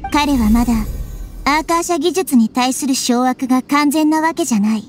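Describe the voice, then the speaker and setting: A woman plays a small girl goddess with a high voice and wisdom beyond her look. She speaks in calm, measured, authoritative phrases in anime game style, with soft music behind. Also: natural voice. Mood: calm, grave. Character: wise, composed childlike little goddess girl. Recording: music under the voice.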